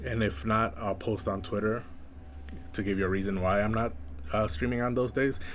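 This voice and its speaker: Flat-toned young man, slight lisp. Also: fairly low pitch, moderate pace, a little hiss or hum. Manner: flat, monotone delivery; rambling with many fillers. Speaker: streamer. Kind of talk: casual chat.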